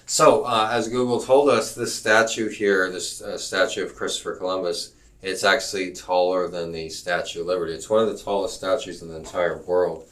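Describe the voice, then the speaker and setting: Low-voiced male teacher, rambling live-stream lecture, heard from back off the mic, lots of uhs. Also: steady tone, normal speed, fairly bright tone, distant mic. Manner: rambling, many fillers and restarts, joking asides. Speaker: online geography teacher.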